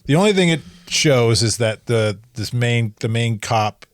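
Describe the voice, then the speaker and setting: A man's voice: medium pitch, expressive, a little slow, dry recording, clean studio sound. Stammering man in casual podcast banter. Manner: stammering repeats, laughs at his own tangents. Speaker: podcast co-host. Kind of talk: casual chat.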